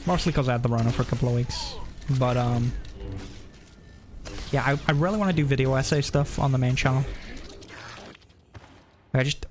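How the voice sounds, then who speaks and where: A man's voice: mid-range voice, normal speed, pauses often, faint music behind. A man chatting casually on a live game stream, rambling and often interrupting himself.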